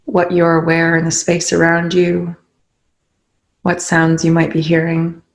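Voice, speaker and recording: A meditation leader guiding listeners in a soothing, unhurried way, with pauses between short instructions. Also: mid-range voice, monotone. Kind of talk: guided meditation.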